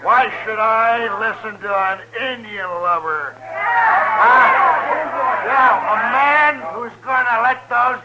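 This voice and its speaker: An actor playing a sneering, hostile saloon troublemaker in a 1950s radio western, with a taunting, belligerent, drawn-out delivery. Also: male voice, very high-pitched, animated, highs cut off, a loud crowd, heavily acted. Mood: contemptuous, belligerent. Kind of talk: 1950s radio drama acting. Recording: noisy old 1950s radio recording.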